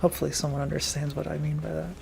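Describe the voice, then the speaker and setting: A man with a somewhat high voice and heavy vocal fry talks through a game. His commentary is casual, sarcastic and jokey, with game music underneath. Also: little pitch movement, racing. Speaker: player and co-commentator on a gameplay video. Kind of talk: casual gaming commentary chat. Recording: video game music behind.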